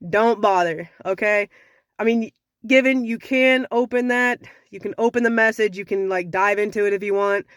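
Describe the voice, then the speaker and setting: A young woman with a slightly raspy voice from sinus trouble, giving frank dating advice in a casual talk to camera. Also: medium pitch, moderate pace, a bit bright, dry recording, clean studio sound. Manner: frank, conversational, sassy.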